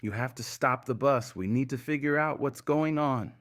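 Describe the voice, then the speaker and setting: A man's voice: mid-range voice, normal speed, dry recording, studio quality, voice pushed up, close to an everyday voice. A man voicing an anxious woman bus passenger with an urgent, insistent manner in a horror story. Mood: urgent, snapping.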